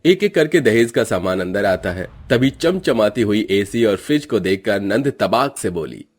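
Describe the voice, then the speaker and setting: Guy narrating a cartoon story, bit raspy, lots of up and down, light music under it. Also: lowish voice, expressive, moderate pace.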